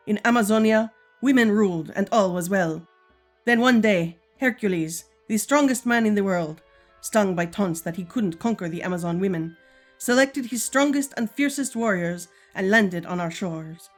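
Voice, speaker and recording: A woman's voice: medium pitch, normal speed, faint music behind, close to an everyday voice. A woman playing a regal, stern but caring Amazon queen and mother in a 1940s-style radio serial, formal and commanding. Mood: solemn storytelling.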